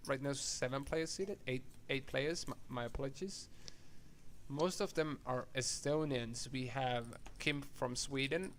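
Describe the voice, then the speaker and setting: Relaxed chatty man commentating poker. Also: mid-range voice, measured pace, a little hiss or hum. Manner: relaxed, chatty, laughs easily.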